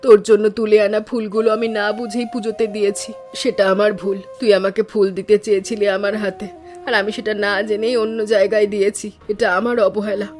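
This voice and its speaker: A woman playing a gentle, wise, doting village aunt in a cartoon story, with a tender, reflective way of talking. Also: medium pitch, little pitch movement, fast talker, close to an everyday voice. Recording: music under the voice.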